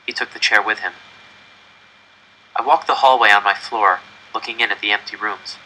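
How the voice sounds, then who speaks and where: A man reading a first-person story aloud in an expressive, dramatic storytelling style. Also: fairly low pitch, normal speed, a little hiss or hum, poor audio. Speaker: audiobook reader.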